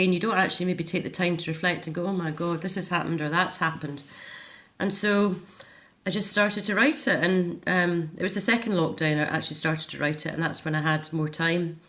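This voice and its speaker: A middle-aged woman with a fairly low voice, talking as a guest in a radio interview. She gives a candid, reflective personal account in a conversational, emphatic way. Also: fairly bright tone.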